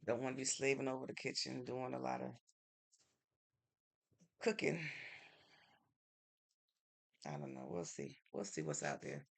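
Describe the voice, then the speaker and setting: A woman's voice: rumbling low, very animated, measured pace, gravelly, pauses often. A woman from Connecticut in the United States hosting a live stream, chatting casually and answering viewer comments in an easygoing, thinking-aloud way. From Norwalk, Connecticut, United States.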